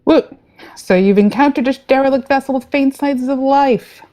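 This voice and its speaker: A woman playing a breezy, trigger-happy spaceship captain in a sci-fi comedy play, delivered with dry deadpan. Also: high voice, moderate pace, close to an everyday voice.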